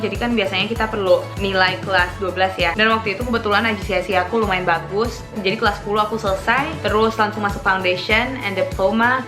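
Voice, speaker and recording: A young woman from Indonesia answering viewer questions in a casual vlog, chatty and mixing English into her talk, laughing often. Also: mid-range voice. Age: young adult. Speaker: vlogger.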